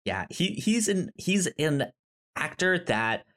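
A man co-hosting a casual review podcast, speaking emphatically with frequent stammered, halting starts. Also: fairly high pitch, sing-song, a bit bright. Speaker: podcast co-host.

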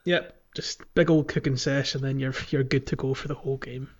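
A British man with a mid-pitched voice talks casually as a podcast host. His delivery rambles and hesitates, and the recording is a little dull and lo-fi. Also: steady tone, a little fast. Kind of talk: casual game discussion and chat. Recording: slightly dull, lo-fi audio.

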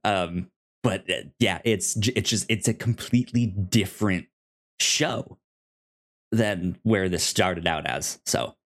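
Halting, slow-paced man with a lowish voice. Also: a bit bright. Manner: halting, stammering, repeats and restarts phrases. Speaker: podcast co-host. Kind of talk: casual TV review discussion.

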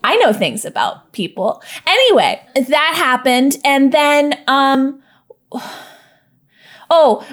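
Woman playing a naive young woman, high voice, bubbly, rambling, giggly, in-character roleplay. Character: naive, chatty young woman raised in a goddess commune.

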